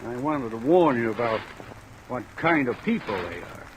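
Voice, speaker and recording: An actor playing a guarded, suspicious small-town defense lawyer in an old radio drama, speaking in a measured, deliberate way. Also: male voice, mid-range voice, lively intonation, lightly acted. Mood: firm, earnest. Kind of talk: 1940s-50s radio drama acting. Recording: noisy old radio recording, rain over it.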